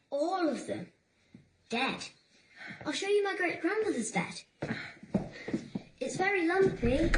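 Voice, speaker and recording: High, lively little girl. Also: low-quality recording. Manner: blunt, playful, childlike chatter. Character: lively, imperious young orphan girl in a country house. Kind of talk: audio drama acting. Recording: music behind the voice.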